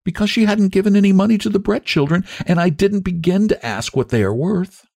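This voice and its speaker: A man's voice: high-pitched, fairly quick, creaky, darkish tone, dry recording, studio quality, voice pushed up. A man voicing an innocent, earnest and polite little girl in a storybook, guileless and sweetly matter-of-fact. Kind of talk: storybook character voice.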